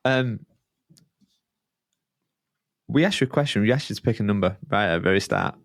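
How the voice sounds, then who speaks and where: A man interviewing a guest on a podcast, his voice pitched somewhat low. He speaks warmly and loosely, stopping and restarting his phrases. The recording is dry and close. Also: animated, normal speed, gravelly, no echo. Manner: friendly and hesitant, with fillers and half-started phrases. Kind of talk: casual interview chat.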